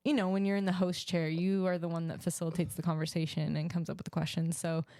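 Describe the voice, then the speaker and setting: A woman's voice: lowish voice, flat delivery, quick pace, no echo, studio quality. A woman hosting a podcast in a casual, chatty, conversational way. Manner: self-described loud talker. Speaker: podcast host. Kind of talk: casual chat.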